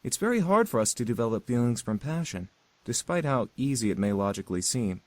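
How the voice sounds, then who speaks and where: A man's voice: medium pitch, lively intonation, a little fast, darkish tone, no room sound, lightly acted. A man voicing a polite, scholarly male demon in a visual novel, speaking in a formal, courteous, explanatory way. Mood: earnest, lecturing. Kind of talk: visual novel character acting.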